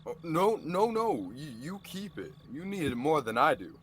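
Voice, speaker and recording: A man's voice: a little high, very animated, normal speed, fairly bright tone, breathy edge, full character voice. A young man playing an easygoing, skeptical but kindly married man in a radio play, often repeating words. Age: young adult. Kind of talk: radio theatre acting.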